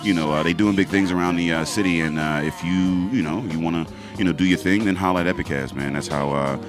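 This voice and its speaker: Male podcast host, deep voice, relaxed plug-reading delivery, studio mic, music bed. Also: fast. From Pittsburgh, USA. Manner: laid-back, loose, swearing, many fillers. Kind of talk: casual podcast chat, reading sponsor plugs.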